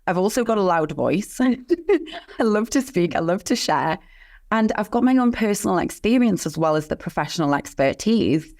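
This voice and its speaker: A woman's voice: medium pitch, very animated, normal speed, darkish tone. A woman speaking as a guest expert in an interview, earnest and passionate, telling a personal story with conviction. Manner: earnest, passionate, talks of mission and calling. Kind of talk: candid interview talk.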